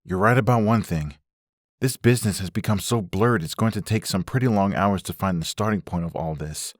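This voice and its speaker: Caring, serious husband male actor, deep register, measured everyday delivery, dry sound, for a comic drama dub. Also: no echo, lightly acted. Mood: tender, weary. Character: earnest, caring husband working through an investigation.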